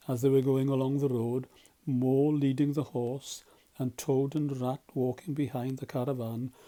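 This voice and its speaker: A man's voice: medium pitch, dark tone. A man narrating a children's storybook as a volunteer storyteller.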